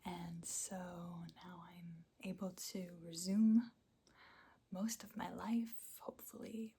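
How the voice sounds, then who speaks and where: A woman hosting an ASMR channel, giving a life update in a soft, unvoiced whisper with small quiet laughs. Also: lowish voice, level tone, takes it slowly, creaky, husky.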